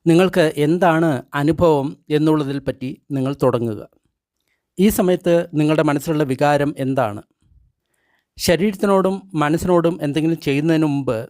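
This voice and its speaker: A man reads a guided meditation script in measured, instructional short phrases with pauses between them. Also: on the high side, a little mellow, dry recording, clean studio sound.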